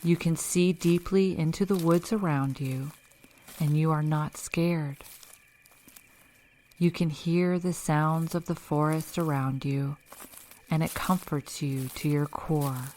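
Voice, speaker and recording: A woman leading a guided meditation in a calm, measured, instructional way, reading scripted narration. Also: low voice, slight background noise. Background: background music.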